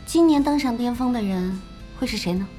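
A woman playing a composed, perceptive, competitive young gamer in a radio drama, calm and understated. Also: fairly high pitch, brisk, lightly acted. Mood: challenging, thoughtful. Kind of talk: Chinese radio drama acting. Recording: music behind the voice.

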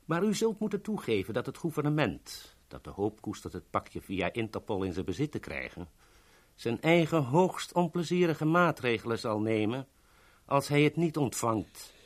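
An actor playing a calculating government agent in a 1970s radio drama, with a formal, deliberate delivery. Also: male voice, medium pitch, big pitch swings. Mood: grave, insistent. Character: calculating male government agent bargaining over a secret package.

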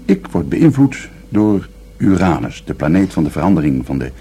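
A man's voice: deep voice, big pitch swings, normal speed, mellow. An actor in a Dutch radio drama playing a dreamy, philosophizing man who explains things in a musing way.

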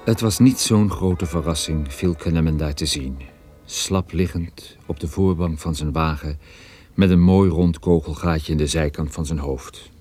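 An actor playing a hard-boiled private detective who narrates a radio play in a dry, wry, laconic way. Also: male voice, rumbling low, a little slow, darkish tone, soft background music. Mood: grim suspense. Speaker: narrator and protagonist.

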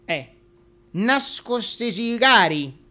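A man's voice: very high-pitched, expressive, slow pace, pushed and bright, a little hiss or hum, exaggerated voice. An actor with a Roman accent playing a gossipy, indignant working-class supporter of the king in a comic stage monologue, colloquial and exclamatory. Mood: confiding, knowing.

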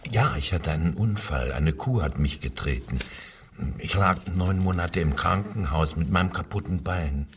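An actor playing a submissive, evasive railway worker under interrogation, hesitant and deferential, in a crime radio drama. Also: male voice, low-pitched, normal speed, husky, recorded from a distance. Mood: nervous, submissive.

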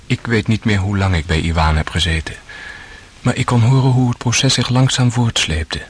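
An actor playing a gentle, earnest brother who also narrates a radio drama. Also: male voice, on the low side, moderate pace, slight background noise, lightly acted. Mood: grave, reflective.

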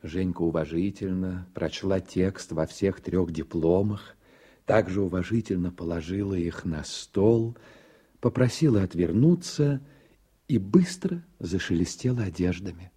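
A man's voice: low-pitched, a little slow, dark tone, breathy edge. A man giving scripted literary narration for a radio play.

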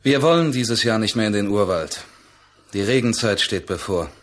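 An actor playing a rough, simple jungle hunter, proud but easily smitten, in a German radio play. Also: male voice, lowish voice, expressive, moderate pace. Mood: stubborn.

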